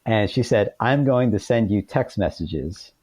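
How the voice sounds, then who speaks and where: Low, mellow man in casual conversation. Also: expressive, measured pace, husky, dry recording. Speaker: podcast guest, author.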